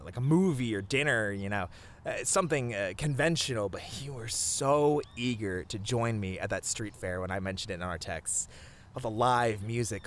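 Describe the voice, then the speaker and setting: A man playing a sweet, expressive bashful boyfriend. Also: on the low side, slight background noise. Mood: nostalgic, fond. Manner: soft-hearted, halting, sighing, chuckling, gently earnest. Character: sweet, bashful young boyfriend, tender and adoring. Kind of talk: romantic ASMR roleplay monologue to the listener.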